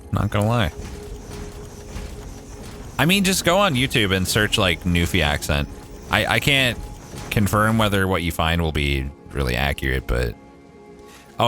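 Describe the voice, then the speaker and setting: Canadian streamer, deep and animated, chatty and profane. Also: male voice. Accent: Canadian, Maritime. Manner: chatty, swears casually, thinks aloud while playing. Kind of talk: casual gameplay livestream chat.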